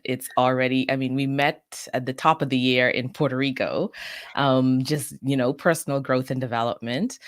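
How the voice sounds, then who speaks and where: Sex: woman. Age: middle-aged. Origin: from the Bahamas. Role: communications advisor as guest. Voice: very low, dry recording. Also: dry recording. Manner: earnest, reflective and articulate. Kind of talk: interview answers.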